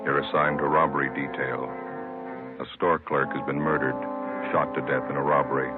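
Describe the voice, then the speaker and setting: A man announcing in a solemn, terse 1950s radio narration style. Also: very deep voice. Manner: grave, terse. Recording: narrow old radio recording, loud brass music.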